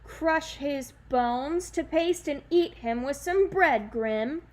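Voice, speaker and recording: Woman doing a towering bellowing giant, slow and cruel, storybook read-aloud. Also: darkish tone. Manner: slow booming threats. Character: huge gnarled bellowing male giant, menacing.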